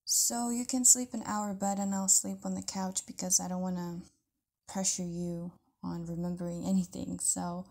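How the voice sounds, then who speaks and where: A woman playing a caring, tender partner in an intimate audio roleplay, talking casually in a soft, hesitant way with breathy near-whispers. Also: lowish voice, flat delivery, moderate pace. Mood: concerned, reassuring. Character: caring, tender live-in partner of an amnesiac lover.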